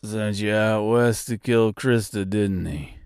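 A man voicing a dying, rusted tin man who taunts in a low voice and laughs between his words. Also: slow, a little mellow, clear, resonant tone. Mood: taunting.

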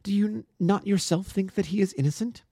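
An earnest, impassioned young woman pleads her case in a high, insistent voice, as a male narrator puts her on. The voice has a mellow tone and is recorded dry and close. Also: brisk, gravelly, husky, no echo, full character voice. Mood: pleading. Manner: breathless and insistent, rising high. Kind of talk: audiobook character voice.

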